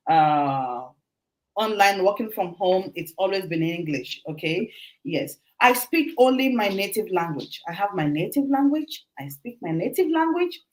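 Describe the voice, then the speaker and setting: A middle-aged woman from Nigeria, a guest talking casually about her life, animated and quick to laugh, often restarting her words. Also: lowish voice, low-quality recording. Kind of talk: casual interview talk.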